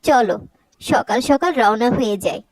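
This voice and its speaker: A woman's voice: a little high, expressive, moderate pace, dry recording, low-quality recording. A woman voicing an anxious, emotional middle-aged mother in a cartoon, with a fretful, emphatic delivery. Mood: worried. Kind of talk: Bengali cartoon voice acting.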